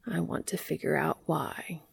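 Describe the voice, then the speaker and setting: Woman voicing a soft-spoken, curious little bee. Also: deep voice, unhurried, creaky, slightly rough, full character voice. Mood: earnest, fond. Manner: soft, earnest, inquisitive. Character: curious, gentle, devoted male bee. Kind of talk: storybook character reading.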